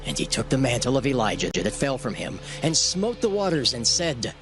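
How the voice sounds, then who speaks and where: A man narrates dramatized scripture in a formal storyteller's manner. His mid-pitched voice moves widely and expressively through the archaic text, over clearly audible music. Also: sing-song, moderate pace.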